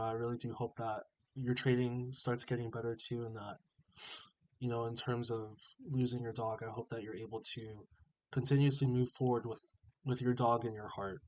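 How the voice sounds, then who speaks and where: A man's voice: mid-range voice, low-quality recording. A man hosting a trading channel with a stuffy, sniffling nose, reading out viewer comments and reassuring them in a casual, encouraging way.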